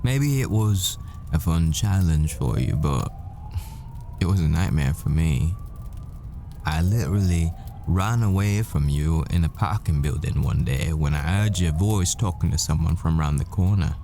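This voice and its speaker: A man's voice: rumbling low, animated, normal speed, mellow, a little hiss or hum. A man playing a secret hitman who poses as a professor, a smitten and haunted boyfriend in an intimate audio roleplay monologue. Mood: fond, teasing.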